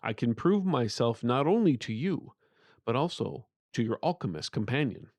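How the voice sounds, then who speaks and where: A man's voice: medium pitch, big pitch swings, moderate pace, a little mellow, no echo. Trapped spirit of an uncle , played by a male narrator, earnest and imploring, fantasy audiobook, close dry sound. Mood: calm, persuasive. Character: earnest, pleading uncle's spirit trapped behind a sealed portal.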